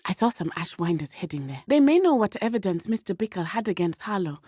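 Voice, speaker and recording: A woman voicing a brave, earnest teenage witch schoolgirl in a video game, speaking in a formal, measured way. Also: mid-range voice, big pitch swings, dark tone, no echo, clean studio sound. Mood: earnest, calm. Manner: formal, measured, avoids contractions. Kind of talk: scripted game companion dialogue.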